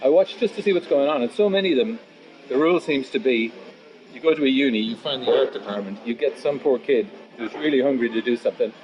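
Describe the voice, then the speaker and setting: A man of fifty, a musician chatting casually and philosophically in an interview, blunt and sweary, rambling into animated asides. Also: mid-range voice, fast, highs cut off. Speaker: musician and guitar teacher being interviewed.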